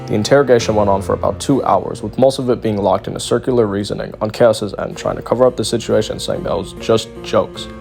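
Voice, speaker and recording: A man reads a scripted exposé as a documentary narrator. He talks quickly in a lowish voice with plenty of vocal fry, over faint background music. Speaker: video narrator.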